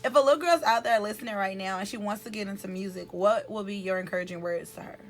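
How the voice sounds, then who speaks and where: A woman's voice: mid-range voice, fast. A woman hosting a casual interview, chatty and informal, with plenty of slang. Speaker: interviewer, host.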